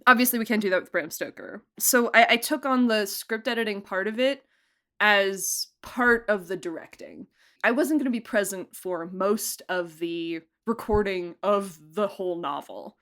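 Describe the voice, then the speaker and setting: A woman in a relaxed interview talks about producing and directing an audio drama, with a medium-pitched voice. She is chatty, often breaks into laughter and fills her sentences with "like.". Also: moderate pace. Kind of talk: casual interview answers.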